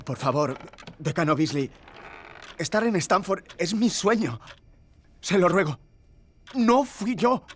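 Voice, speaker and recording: An actor playing a desperate, naive young student prodigy with a theatrical, pleading, drawn-out delivery. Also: mid-range voice, lively intonation, slight background noise. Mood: tearful pleading.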